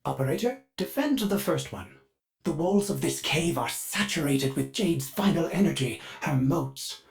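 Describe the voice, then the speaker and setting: A doting, courteous ship AI from a video game, his filtered synthetic voice swinging lively in pitch. He talks of himself in the third person, and now and then his words stutter and loop like a faulty machine. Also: measured pace. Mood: cheerful. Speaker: ship's onboard assistant.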